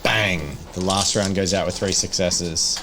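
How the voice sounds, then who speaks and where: A man running a tabletop horror game, narrating casually in graphic, halting descriptions and explaining rules. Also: low voice, heavy background noise. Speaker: game master.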